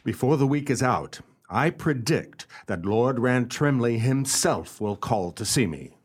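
A man playing a shrewd, polite, skeptical gentleman detective in a radio play, speaking formally and with measured courtesy. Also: mid-range voice, expressive, lightly acted. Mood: firm, regretful. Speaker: detective and narrator.

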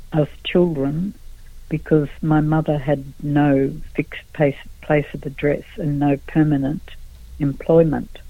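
A middle-aged Australian woman, a guest artist in a radio interview, speaking slowly and haltingly with many pauses and fillers. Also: deep voice, creaky, slightly rough, no room sound. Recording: tinny phone line.

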